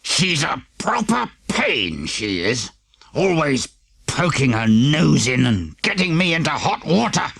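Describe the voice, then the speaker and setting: A man's voice: a little high, big pitch swings, slow, creaky, fairly bright tone, slightly rough, voice pushed up, full character voice. A man voicing a grumpy big bad wolf pretending to be a grandma, sing-song and grumbling, in a children's audiobook. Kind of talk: audiobook character acting.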